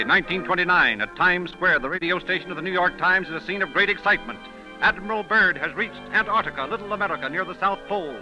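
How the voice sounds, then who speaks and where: A man narrating dramatically in the style of a 1930s radio show. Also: high voice. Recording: dull 1930s radio recording, music behind. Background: music.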